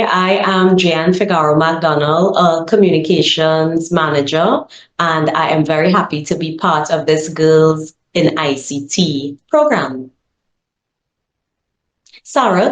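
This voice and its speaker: A woman's voice: sits low, a little slow, roomy sound, poor audio. A woman interviewer asking questions in a polite, upbeat way. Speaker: interviewer, communications manager.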